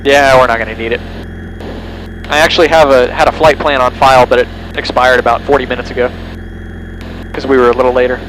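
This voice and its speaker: A man's voice: medium pitch, steady tone, fast, pauses often, a bit bright, poor audio. A male pilot calling out his preflight checks in clipped, matter-of-fact phrases from a small plane cockpit. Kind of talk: running a preflight checklist aloud. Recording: engine noise in cockpit.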